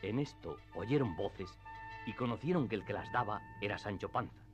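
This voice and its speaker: A man's voice: lowish voice, expressive, moderate pace, over music. A man narrating a literary radio drama from a script in an archaic storytelling style.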